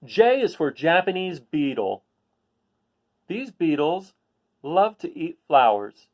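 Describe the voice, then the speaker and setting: Male read-aloud host for a children's book, slightly high voice, very lively intonation, explains things with casual asides. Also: slow pace. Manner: explanatory, addressing young listeners.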